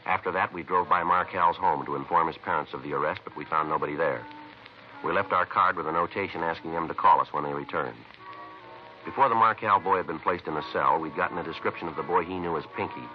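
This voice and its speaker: An actor playing a terse, methodical American police detective, narrating casework in a clipped, matter-of-fact 1950s radio crime drama style. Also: male voice, sits low, fast, natural voice. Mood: clipped, deadpan. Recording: muffled vintage radio recording, faint music.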